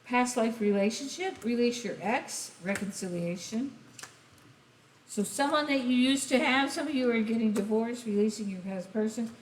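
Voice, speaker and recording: Hoarse woman in an echoey room. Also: on the high side, moderate pace. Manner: rambling, speaks straight to the listener. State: hoarse, losing her voice. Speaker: tarot reader. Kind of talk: casual channeled tarot reading. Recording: echoey room, faint hiss.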